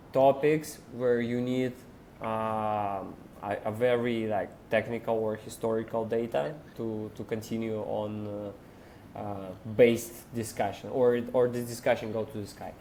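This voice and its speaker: Non guy on a podcast, lots of uh and restarts, slight rumble behind him. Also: fairly even intonation, a little mellow. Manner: halting, many ums and restarts, non-native phrasing. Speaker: podcast co-host. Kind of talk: casual chat.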